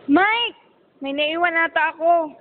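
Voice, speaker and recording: A brisk young Filipino career woman, played by an amateur actress has a high voice in a romance radio drama, bossy yet coy. The sound is tinny, like a phone, with faint noise. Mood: sweet, coy. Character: brisk young professional woman, bossy, sweet to her crush.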